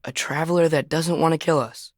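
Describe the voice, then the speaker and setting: A man's voice: a little high, steady tone, a little fast, dry recording, close to an everyday voice. Earnest teenage boy adventurer, acted by a man, dry close recording. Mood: curious, casual. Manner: casual, curious, wry asides. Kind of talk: naturalistic video game dialogue.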